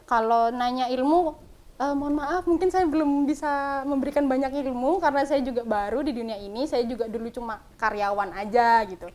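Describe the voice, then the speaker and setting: A woman's voice: high-pitched, a little fast, a little mellow. A woman from Madura talking as a guest in a casual interview, chatty and modest, laughing often. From Madura, Indonesia. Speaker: guest, small dessert business owner.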